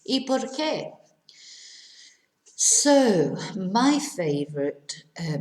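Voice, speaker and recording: A middle-aged woman, teaching to Spanish speakers, repeating each question slowly and clearly. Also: fairly low pitch, a little mellow, roomy sound. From England. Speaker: English teacher. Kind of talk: language lesson for Spanish speakers.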